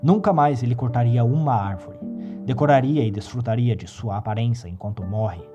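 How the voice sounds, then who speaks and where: A man narrating a horror story in a measured, dramatic reading. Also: medium pitch, muffled recording. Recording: faint music behind the voice.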